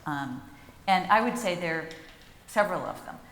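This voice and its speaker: Low-voiced woman in an echoing hall. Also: expressive, a bit bright, a little hiss or hum. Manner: measured, explanatory, with frequent fillers. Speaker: panelist. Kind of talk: panel discussion. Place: large conference hall. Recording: roomy hall reverb, faint ambience.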